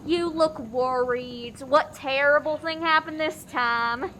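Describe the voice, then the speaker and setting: A woman's voice: high-pitched, takes it slowly, a bit bright, slight background noise. A woman playing a polite but cutting waitress in a comic radio play. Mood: friendly teasing.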